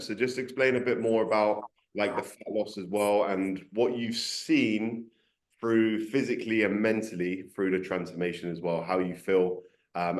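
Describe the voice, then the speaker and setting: A man hosting a casual interview, upbeat and loose, with lots of fillers. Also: lowish voice. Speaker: coaching program host interviewer. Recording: roomy echo.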